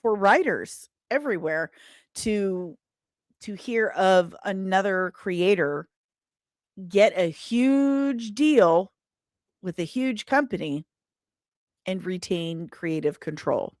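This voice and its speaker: A woman's voice: medium pitch, animated, slow pace, dry recording, studio quality. A woman hosting a casual livestream, chatting playfully and emphatically straight to her viewers. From Britain. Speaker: livestream host and comic book writer.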